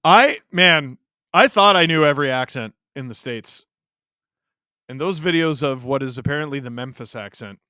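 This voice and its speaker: A man's voice: medium pitch, animated, normal speed, bright, forceful tone, clean tone, no echo, studio quality. A man in his thirties from Canada hosting a live hockey watch-along, joking with chat and reacting to plays. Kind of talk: casual live-stream commentary.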